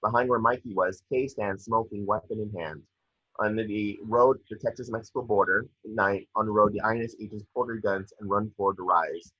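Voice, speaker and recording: A man reads stage directions for a film script in a lowish, slightly husky voice. He is matter-of-fact and trips on the odd word. The home recording is a bit dull. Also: fairly even intonation, fairly quick. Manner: matter-of-fact, with stumbles over words. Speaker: narrator reading action descriptions. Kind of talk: scripted narration at a table read.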